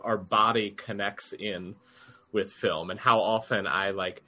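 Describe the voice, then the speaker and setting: Male co-host, bright and forceful tone, lowish voice, earnest. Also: steady tone, a little slow. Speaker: podcast co-host. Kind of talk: casual podcast conversation.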